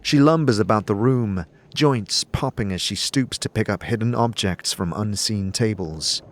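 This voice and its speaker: A man narrating a fantasy audio drama as game master, in a measured, deliberate and dramatic storytelling delivery. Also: on the low side. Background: faint music.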